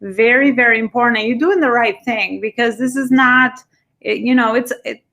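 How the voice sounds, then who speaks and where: Gravelly-voiced woman hosting an interview. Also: on the high side, moderate pace, a bit bright. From immigrant, came at fifteen. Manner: rambling, encouraging, many fillers. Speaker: podcast host. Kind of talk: casual interview chat.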